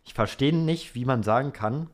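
A man's voice: medium pitch, normal speed. A young man, co-hosting a podcast in casual, slangy banter. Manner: colloquial, slangy. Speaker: podcast co-host. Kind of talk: casual banter, answering listener questions.

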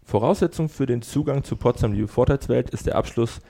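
A man co-hosting a club podcast, reading sponsor and club news in a steady way with small slips. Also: medium pitch, brisk, vocal fry, a little hiss or hum. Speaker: podcast co-host.

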